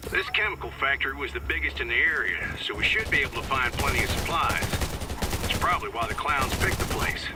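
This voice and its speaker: A man voicing a steady male commander who guides the player over the radio, with a folksy drawl. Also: mid-range voice, fairly quick, distant mic, heavy background noise, close to an everyday voice. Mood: calm. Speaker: radio handler guiding the player.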